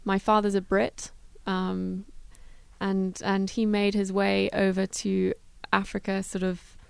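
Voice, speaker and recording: A woman answers interview questions in a flat, steady tone. Her voice is smooth and clear, with a gravelly edge of vocal fry. It is recorded dry and close in a studio. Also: mid-range voice, slow, dry recording. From Zimbabwe. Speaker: guest, travel philanthropy worker.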